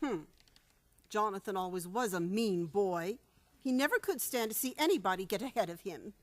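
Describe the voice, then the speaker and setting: A woman's voice: medium pitch, lively intonation, moderate pace, close to an everyday voice. An actress in an old-time radio comedy playing a motherly, innocent elderly spinster aunt, earnest and fussing.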